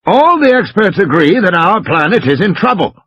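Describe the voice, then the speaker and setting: A man performs an indignant, stubborn man in an audiobook dialogue. He is stiff and argumentative, with a husky, fairly high voice on a slightly dull recording. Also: sing-song, normal speed, fairly bright tone, no room sound, heavily acted. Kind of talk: dramatised audiobook character reading.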